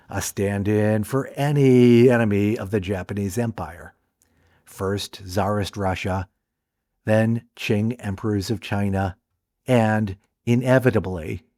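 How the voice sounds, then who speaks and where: Slow, low-voiced man narrating a film essay. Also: dark tone, dry recording, studio quality. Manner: measured, deliberate delivery. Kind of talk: scripted documentary narration.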